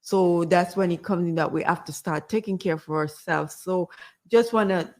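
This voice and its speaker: A woman with a Jamaican accent, chatting casually as a guest and sharing health tips in a gentle, rambling way. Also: lowish voice, normal speed. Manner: gentle, rambling, many fillers. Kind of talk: casual health advice chat.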